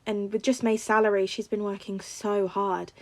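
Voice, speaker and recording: A woman's voice: medium pitch, level tone, moderate pace, darkish tone, natural voice. Woman reading as shy, awkward teenage boy. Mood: subdued. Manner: hesitant, defensive.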